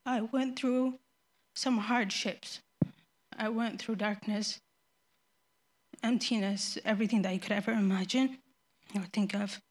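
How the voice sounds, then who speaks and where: A young woman from Ethiopia giving a nervous, halting personal faith testimony before her baptism, often restarting her sentences. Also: medium pitch, fairly even intonation. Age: young adult.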